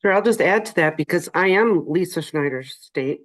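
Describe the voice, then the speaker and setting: A woman's voice: fairly low pitch, animated, moderate pace, no echo. A middle-aged woman from a state agency, talking through her frustrations on a video call in a rambling way, full of "you know". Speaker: state agency staff member. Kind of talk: casual video call discussion.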